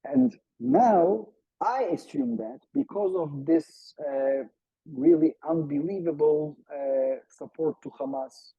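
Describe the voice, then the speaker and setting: Man, Turkish accent, native Turkish speaker, raised pitch, gravelly creak, formal halting emphatic delivery, seminar panelist. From Turkey. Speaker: seminar panelist, political analyst. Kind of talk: seminar talk, semi-prepared analysis. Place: online Zoom seminar. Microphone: video call. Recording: muffled, echo-free video call audio.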